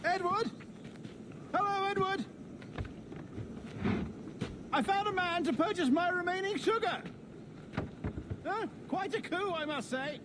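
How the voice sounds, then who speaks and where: A man playing a cheerful, prim and fussy gentleman merchant in a game, chatty and eager. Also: very high voice, slow pace, pauses often, noisy background. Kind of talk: dramatic game acting.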